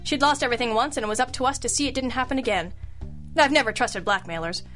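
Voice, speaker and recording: Actress as hard-boiled lady private eye, higher-pitched, sardonic, radio noir with music underneath. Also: fast, fairly bright tone, lightly acted. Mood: wry narrating. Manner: sardonic, knowing. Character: wry, tough girl detective in hard-boiled noir. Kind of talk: old-time radio detective drama acting and narration.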